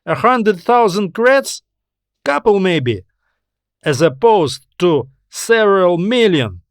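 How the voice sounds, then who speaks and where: A man's voice: high-pitched, very animated, slow, dry recording, voice pushed up, heavily acted. Level-headed male space pilot, read by a male narrator, persuasive, exasperated, sci-fi story, dry recording.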